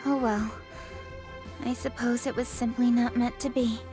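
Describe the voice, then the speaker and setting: A woman's voice: a little high, little pitch movement, moderate pace, loud music behind the voice, natural voice. A woman voicing a poised, proud, reserved young noblewoman in a video game, composed, formal and articulate. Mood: resigned. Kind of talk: game voice acting.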